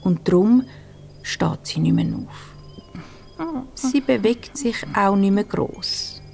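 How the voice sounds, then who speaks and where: Very slow, hushed Swiss German woman storyteller. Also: mid-range voice, animated, a little hiss or hum. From Switzerland. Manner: soft, soothing, breathy hushed delivery with gentle pauses. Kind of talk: scripted bedtime story narration for children.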